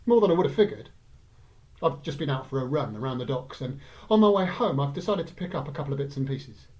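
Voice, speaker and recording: A man's voice: medium pitch, a little mellow. English man reading a light-hearted story. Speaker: story reader.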